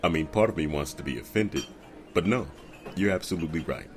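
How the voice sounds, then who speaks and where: An actor playing a well-spoken, reassuring man who keeps things back from his date, measured and earnest, in an audio drama. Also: extremely low pitch, expressive, slight background noise. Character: smooth, evasive, reassuring romantic suitor with a secret.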